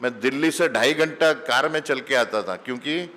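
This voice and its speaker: Spiritual teacher, man, middle-aged, unhurried storytelling sermon, mid-pitched, long hall echo. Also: bright, forceful tone. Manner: slow, mixes English phrases into Hindi. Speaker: spiritual teacher giving satsang. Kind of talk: conversational sermon with anecdotes.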